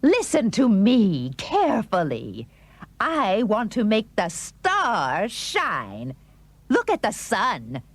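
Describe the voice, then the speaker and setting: A woman's voice: medium pitch, wildly swooping pitch, takes it slowly, slightly rough, dry recording, exaggerated voice. A woman playing a demanding, imperious wife and emperor in a dramatised folk tale, bossy and insistent. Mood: excited, demanding.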